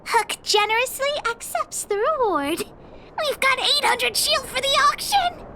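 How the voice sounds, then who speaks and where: A woman's voice: very high voice, lively intonation, unhurried, background noise, heavily acted. A woman voicing a brash, stubborn little girl adventurer in a video game, blunt and bossy. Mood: proud. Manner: blunt, bossy, refers to self in third person. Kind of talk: animated video game voice acting.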